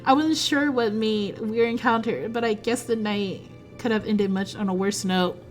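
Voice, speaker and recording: Brief: high-voiced woman streamer, excitable and giggly, reading the story aloud over faint music. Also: normal speed. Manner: excitable, flustered, breaks into giggles.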